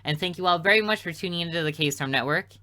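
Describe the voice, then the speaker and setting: Bright-voiced young man doing game commentary. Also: fairly high pitch, quick pace. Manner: rambling, joking asides. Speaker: gaming video host. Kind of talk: casual playthrough commentary.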